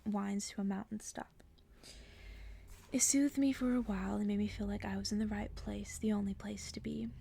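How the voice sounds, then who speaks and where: A woman reading a bedtime story as ASMR in a soft, breathy whisper, hushed and gentle. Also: medium pitch, no room sound. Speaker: ASMR creator reading stories aloud. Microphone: close-up microphone.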